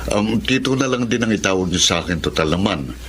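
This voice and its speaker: An actor playing a reserved, hesitant middle-aged uncle, in Filipino radio drama style with a Filipino accent. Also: male voice, lowish voice, expressive, a little fast, fairly bright tone, slight background noise. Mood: hesitant, polite. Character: reserved, hesitant uncle hosting his niece's friends.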